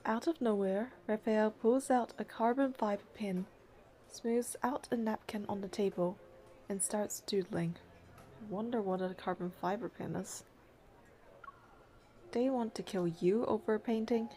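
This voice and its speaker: A woman's voice: medium pitch, a little slow, dark tone, a little hiss or hum, natural voice. A woman voicing a practical, skeptical young heroine in an English-dubbed game, dry and matter-of-fact as she narrates and talks. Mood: measured, curious.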